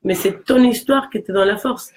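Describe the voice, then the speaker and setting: A woman from Mexico with a Mexican Spanish accent and a low voice, talking earnestly in a casual conversation. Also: normal speed, a little mellow, slight background noise. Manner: earnest, encouraging, with frequent restarts.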